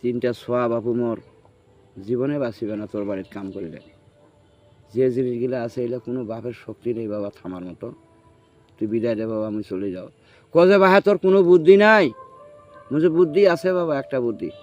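A man's voice: mid-range voice, fairly quick, long pauses, darkish tone, faint music behind. A man with a rural northern Bengali dialect telling a story in casual chat, acting out the dialogue and checking that the listener follows. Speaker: storyteller recounting own experience. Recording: muffled, noisy field recording.

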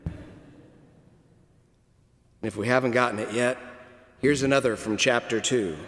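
A middle-aged man preaching a sermon from a pulpit, telling the story slowly and deliberately with dramatic pauses. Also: medium pitch. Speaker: cathedral dean preaching. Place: large stone cathedral, at a pulpit. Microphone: pulpit microphone. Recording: long cathedral reverb.

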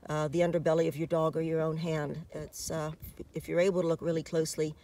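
A woman's voice: low voice, level tone, fast, warm tone. A middle-aged American woman giving an informal safety talk to camera outdoors, as a presenter from a fitness department. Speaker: fitness department staffer presenting a dog hiking safety video. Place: outdoors in open desert by trails and a dirt parking lot. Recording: far from the mic, faint outdoor noise.

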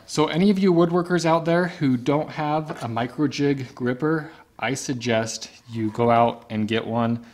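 A man in his thirties hosts a casual instructional vlog, explaining each step conversationally as a woodworker in his garage shop. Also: medium pitch. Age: 30s. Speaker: woodworker and video host.

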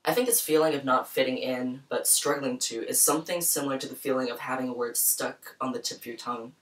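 A young woman hosting a program, speaking in a soft, thoughtful, personal way in a small room. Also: rumbling low, steady tone, fast, distant mic, rough recording. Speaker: program host. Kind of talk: reflective spoken introduction. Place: small bright room with plants, indoors.

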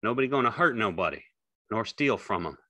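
A man playing a weary, low-voiced protective working man. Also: lively intonation, brisk, sharp tone, no echo. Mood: reassuring, tender. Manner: plain, folksy, reassuring. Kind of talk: audiobook character acting.

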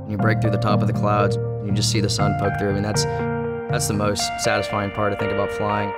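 A man reflects in an interview voice-over. His lowish voice is very flat in pitch, and loud guitar music plays over it. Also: brisk.

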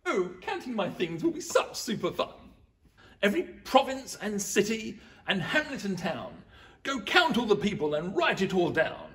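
A man doing a pompous greedy emperor, high voice swooping wildly, gloating laughs, heard from a distance. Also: big pitch swings, moderate pace, a bit bright. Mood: commanding. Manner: pompous, gloating, laughing through rhyming boasts. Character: boastful greedy male Roman emperor. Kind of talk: rhyming storybook acting.

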